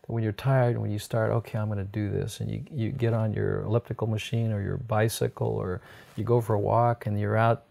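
A middle-aged American man talks health in a folksy, explanatory manner. His voice is low and gravelly, with a soft, mellow edge, as in a relaxed sit-down interview. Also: a little fast. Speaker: health doctor and program host. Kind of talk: conversational health advice interview. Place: indoors on a sofa in a book-lined room.

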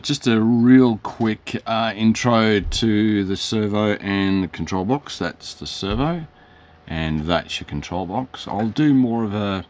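A hoarse middle-aged man, rambling through a casual product demo in his hobby shop, with lots of fillers and self-corrections. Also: on the low side. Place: hobby shop, shelves behind.

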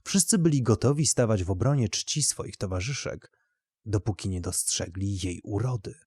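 A man's voice: fairly low pitch, lively intonation, moderate pace, darkish tone, slightly rough, dry recording. A man narrating literary fiction as a scripted audio story.

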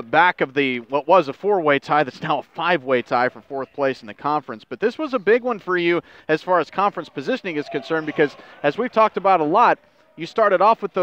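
A man's voice: on the high side, a bit bright, dull audio. A young American man hosting a postgame sports interview, recapping stats and asking questions into a headset mic. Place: indoor interview table at a sports arena.

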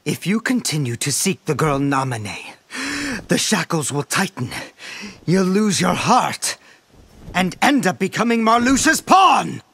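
An actor playing a taunting, cryptic villain in a video game, with a theatrical, mocking, drawn-out riddling delivery. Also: male voice, sits high, big pitch swings, gravelly, a bit bright, husky, full character voice. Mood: menacing. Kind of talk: video game cutscene voice acting.

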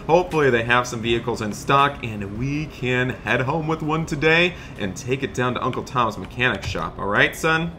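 A man narrating an improvised game roleplay as an excited, friendly dad, chatty and full of exclamations. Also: mid-range voice, normal speed, hardly any pauses, a little hiss or hum. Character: excited friendly dad shopping for project cars. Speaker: host of a gaming roleplay channel.

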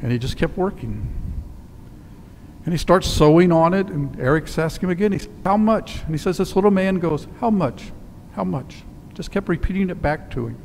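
An older male priest preaching from the front of a church, his slightly high voice carrying through a long reverb. He tells stories plainly and sinks to quiet, slowed emphasis, with a faint hum of the room behind him. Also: lively intonation. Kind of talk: sermon. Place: church sanctuary in front of altar. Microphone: headset mic.

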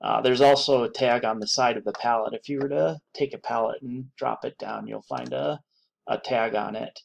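A man giving an unscripted screen-recorded software tutorial, thinking aloud with many pauses and restarts. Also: mid-range voice, fairly even intonation, gravelly, slight background noise.

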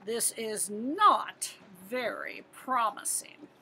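A woman's voice: a little high, animated, extremely slow, gravelly, sharp tone, slightly rough, exaggerated voice. A woman voicing a stern, skeptical male lion instructor from a picture book, terse and appraising. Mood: unimpressed.

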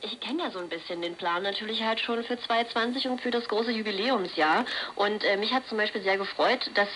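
A woman talks quickly and casually as a radio guest, at a medium pitch. She is heard over a shortwave broadcast with faint noise. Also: fairly bright tone, poor audio. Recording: shortwave radio broadcast picked up on portable receiver.

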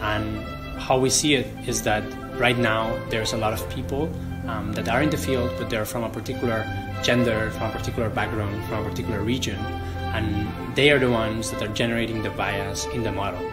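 A man's voice: lowish voice, steady tone, normal speed. A man giving promotional interview talk as the organiser of a programme. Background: loud music.

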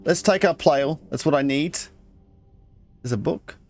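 A man's voice: a little high, unhurried, a little mellow. A man hosting a let's play, casually thinking aloud and reading game text as he goes. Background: game music.

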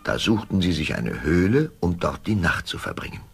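Male fairy tale narrator, very low and gravelly, music in the recording. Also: sing-song, moderate pace. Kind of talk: scripted storybook narration for an audio play.

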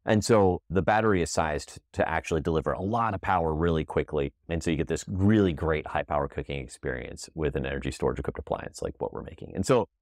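A man's voice: rumbling low, quick pace, hardly any pauses, no room sound, clean studio sound. A man talking casually as an interview guest, explaining things in a plain, conversational way. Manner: conversational, explains with everyday analogies. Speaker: guest, appliance company founder.

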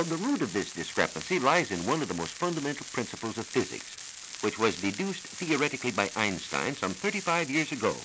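Formal 1940s American radio science man, crackly disc. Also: fairly low pitch. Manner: formal, measured, didactic. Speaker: science reporter. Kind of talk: 1940s radio science lecture, scripted explanation.

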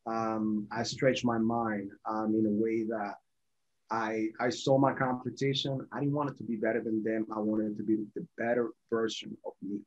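A man's voice: lowish voice, level tone, moderate pace, a little mellow. A man, telling his personal story conversationally as a guest over a video call. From born in Venezuela, raised in Colombia. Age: 40s. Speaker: podcast guest. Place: small indoor home office. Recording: dull, lo-fi video call audio.

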